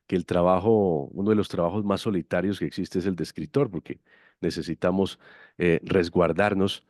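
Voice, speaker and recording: A man's voice: sits low, fairly even intonation, moderate pace, a little mellow, no echo, studio quality. A man hosting an interview, courteous and effusive as he asks his questions. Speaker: interviewer, host.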